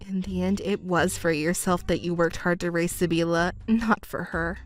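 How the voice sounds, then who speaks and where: Casting: woman to play young stepdaughter, self-possessed and quietly reproachful, measured and firm, family drama. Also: on the low side, steady tone, soft background music, lightly acted. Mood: firm, calm. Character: composed, coolly reproachful young adult stepdaughter. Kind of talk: acted dialogue in a narrated family drama.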